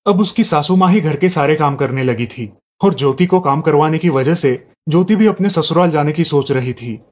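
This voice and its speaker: A man narrating a family cartoon story in a steady storytelling manner. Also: a little high, normal speed, slight background noise.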